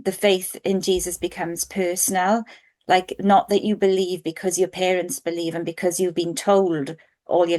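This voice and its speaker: Welsh woman in her forties, author guest, earnest and reflective, slightly low pitch. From Wales. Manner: earnest, thoughtful, frequent ums. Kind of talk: reflective interview answers.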